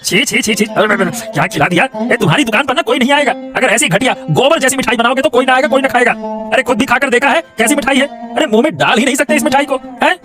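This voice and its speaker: A man's voice: extremely high pitch, fast, music in the background. A man voicing a scheming, indignant royal minister in a cartoon, scolding and repeating himself with petty bluster. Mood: disgusted, scolding. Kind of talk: exaggerated Hindi cartoon voice acting.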